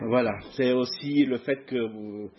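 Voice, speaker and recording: A middle-aged man with a southern French accent, a guest preacher giving a sermon in church, hesitant with many pauses. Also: medium pitch, muffled recording, a little hiss or hum. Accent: southern French (Provence). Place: church hall before a masked congregation.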